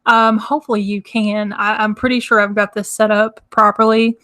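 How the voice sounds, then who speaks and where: A woman hosting a casual live stream, chatty and rambling, with a hoarse, crackly, nasal voice from congestion. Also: mid-range voice, little pitch movement, normal speed, fairly bright tone. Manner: chatty, rambling, laughs often. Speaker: host. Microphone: microphone.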